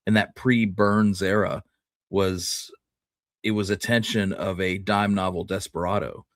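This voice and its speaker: A man's voice: low-pitched, flat delivery, a little slow, no room sound, studio quality. A man co-hosting a history show, explaining things in a casual, conversational way. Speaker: podcast co-host.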